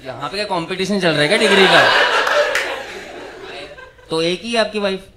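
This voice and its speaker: A man in his thirties doing stand-up, teasing audience members in quick back-and-forth banter on a club stage with a handheld mic. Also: high voice, heavy background noise. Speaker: stand-up comedian. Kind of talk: crowd-work roast.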